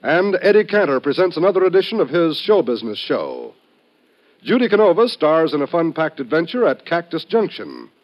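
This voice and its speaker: Animated male radio announcer, dull old recording. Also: on the high side, a little fast. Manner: emphatic, polished promotional delivery. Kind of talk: 1950s network radio announcing.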